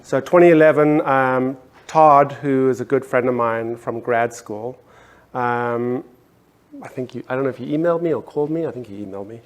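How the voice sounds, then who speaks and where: A man's voice: medium pitch, fairly even intonation, normal speed. A middle-aged man from South Africa with a South African accent, speaking on an art panel in a hesitant way, with many ums and restarts. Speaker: panelist artist. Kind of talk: casual panel talk.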